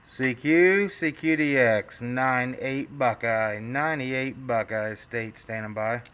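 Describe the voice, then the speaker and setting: A man's voice: mid-range voice, takes it slowly. An American man working a CB radio, talking in a folksy way full of radio jargon as he makes on-air contacts. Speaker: CB radio operator. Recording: loud radio static and noise. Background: radio static and noise.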